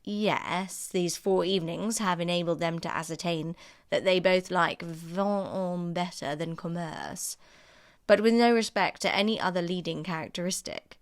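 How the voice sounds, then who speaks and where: A woman's voice: on the low side, fairly even intonation, unhurried, no room sound, studio quality. A woman voicing a spirited, principled young woman in an audiobook novel, speaking firmly and wryly. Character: Elizabeth, spirited, principled young woman defending her sister. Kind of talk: audiobook character dialogue.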